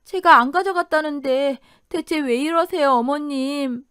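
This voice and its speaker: Woman acting a high-voiced, wronged young wife. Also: measured pace, fairly bright tone, no echo, clean studio sound. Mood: indignant, protesting. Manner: pleading, indignant. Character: wronged pregnant daughter-in-law, bewildered, indignantly protesting.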